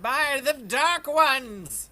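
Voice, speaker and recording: Gloating skull-faced villain sorcerer acted by a reader, grandiose, bright forceful voice, animated swoops, comic table read. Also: high voice, drawn-out words, full character voice. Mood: defiant. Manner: grandiose, declamatory.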